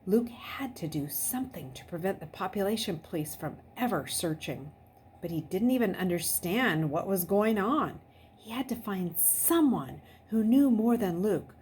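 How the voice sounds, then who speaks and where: A woman hosting a read-aloud, speaking in an expressive, deliberate storytelling way. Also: fairly low pitch. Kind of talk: chatty greeting, then expressive children's novel read-aloud. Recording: noisy background.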